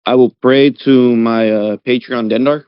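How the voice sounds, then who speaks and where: A man's voice: mid-range voice, steady tone, measured pace, smooth, no echo. A man playing a tabletop role-playing game, answering casually in brief, understated phrases. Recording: dull lo-fi audio.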